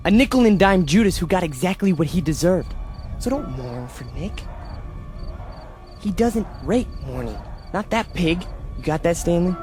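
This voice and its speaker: An actor playing an intense, callous young fascist leader in a radio drama, forceful and commanding. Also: male voice, high-pitched, lively intonation, moderate pace, soft background music. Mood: cold, angry.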